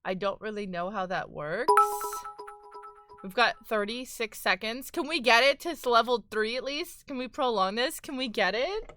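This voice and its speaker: A woman streamer who sounds congested and hoarse, chatting casually with her viewers and thanking them one by one. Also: on the high side, expressive, moderate pace, music in the background. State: congested, voice worn out. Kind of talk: casual live-stream chat.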